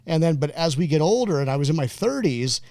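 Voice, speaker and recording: Halting rambling man in his forties. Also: on the high side, sing-song, mellow, no room sound, clean studio sound. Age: 40s. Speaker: podcast host. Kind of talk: casual banter over drinks.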